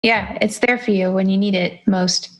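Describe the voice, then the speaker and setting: A woman's voice: mid-range voice, steady tone, moderate pace, low-quality recording. A woman co-hosting a casual gaming podcast, playful and teasing with dry jokes. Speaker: podcast co-host.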